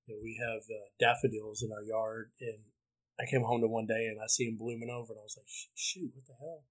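A man's voice: on the low side, fairly quick, low-quality recording. A man hosting and producing a podcast, chatting easily and backing his guest with mm-hmms. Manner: flat, easygoing, many mm-hmms. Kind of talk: casual podcast chat.

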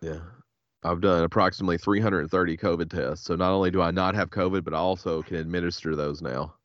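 A deep-voiced man with a head cold hosts a podcast in a loose, joking way. His sound is a bit dull and muffled. He drops casual curses and laughs easily with his co-hosts. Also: steady tone, fast, no room sound. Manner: laid-back, joking, says "hell yeah" often. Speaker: podcast host. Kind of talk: casual chat.

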